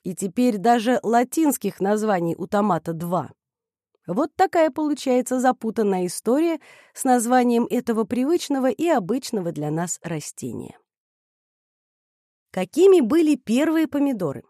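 Woman narrator, fairly high pitch, mellow warm tone, dry close sound, even explanatory reading. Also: normal speed, no echo. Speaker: presenter. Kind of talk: scripted educational narration.

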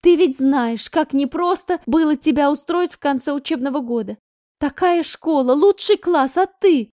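A woman voicing an anxious middle-aged mother who scolds her daughter in a shrill, rising voice. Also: moderate pace, dark tone, no echo, clean studio sound, natural voice. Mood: shouting.

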